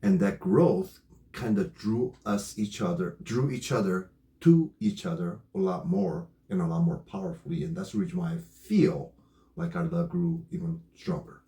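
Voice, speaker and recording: Loud playful man, echoey room. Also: low-pitched, big pitch swings, moderate pace. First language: not English. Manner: laughs often, playful. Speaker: co-host. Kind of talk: casual couple conversation.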